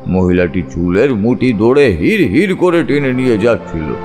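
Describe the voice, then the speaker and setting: A man narrating a scripted first-person ghost story in a suspenseful, measured storytelling manner. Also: lowish voice, very animated, a little mellow. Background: background music.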